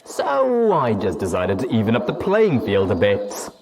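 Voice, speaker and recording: A low-voiced male cyborg pony villain, acted out by a man, speaks with amiable menace and lively swings of pitch. He taunts in a pleasant, conversational way, as in a dramatic storybook reading, over a clear crowd-like din. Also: a little slow, full character voice, rough recording. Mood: smugly amiable. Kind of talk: dramatic audiobook character reading.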